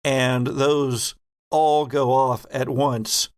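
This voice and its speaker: Male game master for sci-fi horror roleplay: mid-pitched, slow and deliberate storytelling. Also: fairly even intonation.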